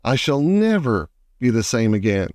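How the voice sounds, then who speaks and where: A man's voice: mid-range voice, very animated, normal speed, a little mellow, no echo, natural voice. Gloomy earthworm for a children's tale, played by a man: grumbling, self-pitying, dry close sound. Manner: morose, complaining.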